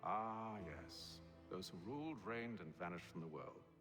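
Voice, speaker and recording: A man playing a composed, dryly curt gentleman agent in a period video game drama, speaking formally and with reserve. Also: low-pitched, very animated, measured pace, over music. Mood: composed, measured. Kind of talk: video game cutscene acting.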